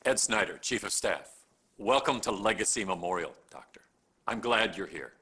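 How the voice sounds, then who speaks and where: An actor playing a smug, vain, middle-aged hospital chief of staff in a video game cutscene, pompous and self-satisfied. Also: male voice, fairly low pitch, lively intonation, normal speed, sharp tone, breathy edge, exaggerated voice. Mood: businesslike. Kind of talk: live-action video game cutscene acting.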